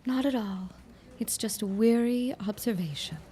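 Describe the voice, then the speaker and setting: A woman's voice: on the high side, a little slow, mellow, lightly acted. A woman acting a brusque, flirtatious and world-weary secretary in a 1910s period audio drama, with curt, slangy, sardonic delivery. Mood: irritated, sardonic.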